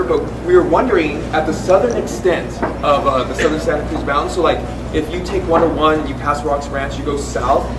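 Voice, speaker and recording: A wildlife tracking panelist telling stories in a chatty, animated way at a live question-and-answer event, full of "like" and restarts. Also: male voice, a little high. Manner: chatty, lots of "like" and self-restarts. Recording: echoey room, distant mic, noisy.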